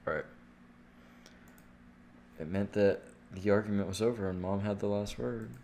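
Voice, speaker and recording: A man's voice: lowish voice, moderate pace, a little mellow, a little hiss or hum. A man livestreaming a game, reading visual novel text aloud and dropping in casual, sweary reactions. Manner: casual, profane asides. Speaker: game streamer. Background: game music with guitar.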